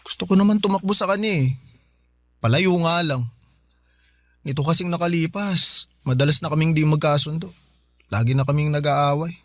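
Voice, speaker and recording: An actor playing a hard-up, stubborn young brother in a Filipino radio drama, pleading and defensive in casual everyday speech. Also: male voice, fairly high pitch, normal speed, pauses often, no echo, natural voice. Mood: sheepish. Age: young adult. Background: music bed.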